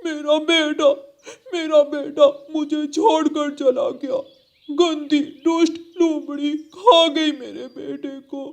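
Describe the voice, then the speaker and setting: Cartoon crow dad male performer: extremely high pitch, doting, plaintive, emotional. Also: expressive, measured pace, a little mellow, full character voice. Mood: grieving. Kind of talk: children's animal cartoon voice acting.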